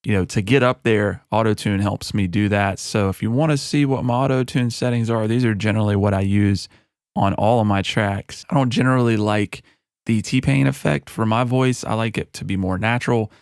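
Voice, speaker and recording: A man with a lowish voice talks through his mixing session in a relaxed, conversational way, leaning on easy fillers. He is close on a broadcast studio mic in a home studio, and the sound is dry, with no room around it. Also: normal speed, smooth, dry recording. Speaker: music producer and recording artist. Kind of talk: mixing tutorial walkthrough. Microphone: broadcast studio microphone.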